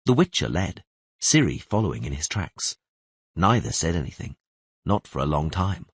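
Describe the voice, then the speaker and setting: A man's voice: sits low, sing-song, normal speed, slightly rough, no room sound. A man reading scripted fantasy narration as an audiobook narrator.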